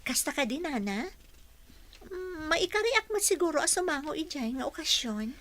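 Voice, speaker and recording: A bright, fairly high-voiced young Ilocano housekeeper. She is a dutiful daughter in a radio drama and speaks with an Ilocano accent. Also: sing-song, normal speed. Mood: earnest, respectful.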